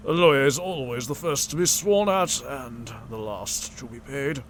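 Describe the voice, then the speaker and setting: An actor playing a patient, measured family lawyer with a deliberate, hesitant manner in a radio mystery drama. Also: male voice, medium pitch, lightly acted. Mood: dry, measured. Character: measured, patient male family lawyer breaking grim news. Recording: steady noise behind, vintage radio recreation.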